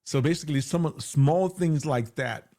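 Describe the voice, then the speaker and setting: A man's voice: fairly high pitch, unhurried, dark tone. Man, guest in an interview, big voice, emphatic, repeats key phrases. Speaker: guest, hypnotherapist. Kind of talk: conversational interview.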